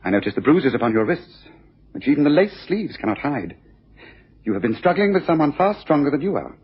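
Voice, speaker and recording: Brisk, animated detective, shrewd and formally precise, for muffled radio drama. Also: male voice, on the high side, husky, exaggerated voice. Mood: confident, probing.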